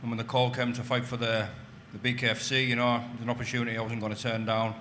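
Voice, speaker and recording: A man's voice: mid-range voice, little pitch movement, fast talker, a bit bright, a little hiss or hum. A man in his late thirties, a fighter, introducing himself casually at a press conference. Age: 30s. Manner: casual, fills with "you know".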